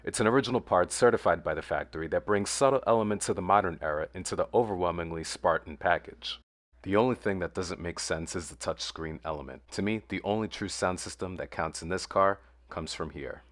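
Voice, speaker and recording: A man's voice: low voice, brisk, no room sound. A man presenting a car vlog, moving between casual remarks and scripted narration. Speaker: car owner and vlogger.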